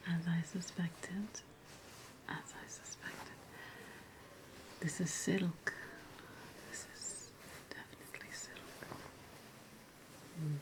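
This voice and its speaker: Woman as a slow whispering haughty Roman noblewoman. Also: lowish voice, fairly even intonation, low-quality recording. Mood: playful, probing. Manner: slow, teasing, savoring, repeats phrases. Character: haughty, indulgent ancient Roman noblewoman hostess, playful and snobbish. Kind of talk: whispered ASMR roleplay.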